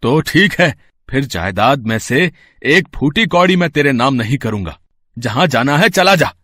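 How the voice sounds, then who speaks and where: A man's voice: medium pitch, expressive, moderate pace, a bit bright, no echo, clean studio sound. A man playing a stern but kindly older father-in-law in a cartoon family drama, grave and paternal in manner. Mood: stern, angry. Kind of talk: Hindi cartoon family melodrama acting.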